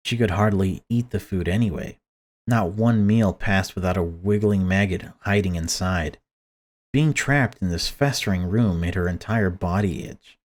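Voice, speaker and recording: A man's voice: sits low, moderate pace. A man reading a horror visual novel aloud as dramatic narration for a let's play.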